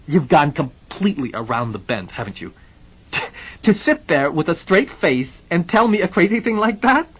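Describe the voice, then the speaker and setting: Man doing a loud sputtering hothead, high and husky, muffled lo-fi sound. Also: big pitch swings, moderate pace. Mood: outraged. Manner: sputtering, shouting. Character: blustering, indignant hot-tempered man.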